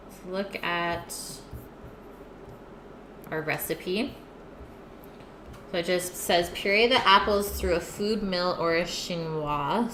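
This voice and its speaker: A woman hosting a casual cooking livestream from her home kitchen, chatting with viewers and answering their messages as she works. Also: on the low side. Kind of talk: casual cooking stream chat. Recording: echoey kitchen with background noise.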